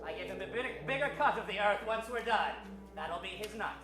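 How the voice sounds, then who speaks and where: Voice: mid-range voice, expressive, fairly quick, echoey room, over music, lightly acted. A performer playing a flustered, gloating alien villain master in improvised radio comedy, hammy, stammering and insistent. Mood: scheming. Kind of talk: improvised old-time radio comedy acting.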